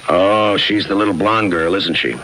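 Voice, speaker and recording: Hardboiled homicide cop for vintage radio noir: low, dry, deadpan, on a noisy old recording. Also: male voice, moderate pace. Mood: dry, needling. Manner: laconic, wry, deadpan. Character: hardboiled, sardonic male police homicide lieutenant narrating his case. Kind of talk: 1950s radio crime drama acting, noir narration.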